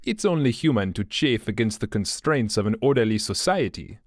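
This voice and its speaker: A man voicing an older gray-haired French male enforcer with a French accent, courteous and measured with veiled threats. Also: on the low side, big pitch swings, dry recording, studio quality. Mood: silkily menacing.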